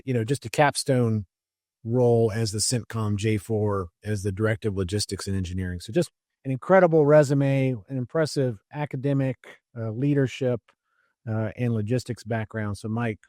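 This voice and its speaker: A man in his fifties hosts a podcast and introduces his guest with easy enthusiasm. His pitch sits in the middle, and he leans on fillers as he goes. The recording is dry and close-miked. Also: steady tone, normal speed, warm tone, no room sound. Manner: friendly, filler-heavy, enthusiastic. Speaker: podcast host. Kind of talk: casual interview introduction.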